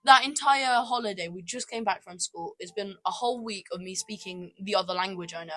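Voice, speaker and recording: A woman's voice: mid-range voice, brisk, bright, forceful tone. A teenage girl co-hosting a casual student podcast, talking in a chatty way, repeating herself and laughing as she goes. English is not her first language. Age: teens. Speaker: podcast co-host. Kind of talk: casual chat.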